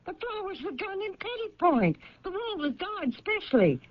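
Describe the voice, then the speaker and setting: An actress playing a genteel, possessive, high-strung mother of grown children in a radio drama, fussy and emphatic. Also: sing-song, moderate pace, dry recording. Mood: indignant, agitated. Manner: fussy, emphatic, easily agitated. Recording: muffled vintage radio drama recording.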